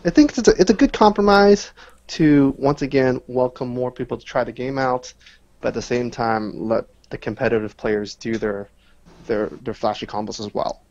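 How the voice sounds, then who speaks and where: A man's voice: mid-range voice, normal speed, darkish tone, dull audio. A man giving casual, analytical answers as a guest player in a gaming interview.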